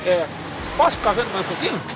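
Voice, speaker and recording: A man's voice: high-pitched, big pitch swings, fast. A man putting on a pushy local busybody with a fake regional accent. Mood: nosy. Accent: put-on Northeastern Brazilian. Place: outdoors at a city bus stop. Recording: TV segment with music behind.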